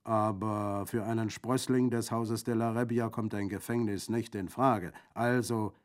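A man's voice: on the low side, steady tone, unhurried, lightly acted. A man voicing a boisterous Corsican bandit in an audiobook, jovial and exclamatory, with a gleefully mocking, gruesome humour. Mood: urging, wry. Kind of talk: audiobook character acting.

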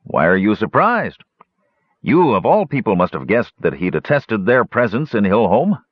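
A man voicing a gray-haired old male dwarf innkeeper who talks gruff and blunt, pitched well above his own voice. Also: very animated, normal speed, dry recording. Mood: reminiscing. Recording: dull lo-fi dry recording.

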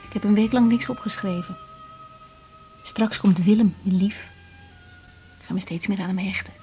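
An actress playing a young woman doctor in a 1980s radio drama, talking hesitantly with soft whispered asides. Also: medium pitch, natural voice. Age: young adult. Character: young woman doctor, thoughtful and self-doubting.

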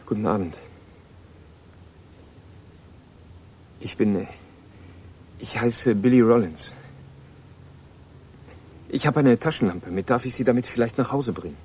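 A man's voice: mid-range voice, moderate pace, pauses often, a little mellow, slightly rough, lightly acted. An actor playing a polite, guarded eighteen-year-old American boy in a radio play, speaking with reserved hesitation. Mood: nervous, polite.

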